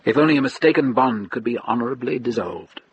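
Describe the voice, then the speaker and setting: Male narrator, radio drama: literary, deliberate phrasing, lively intonation, noisy ambience behind. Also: mid-range voice, muffled recording.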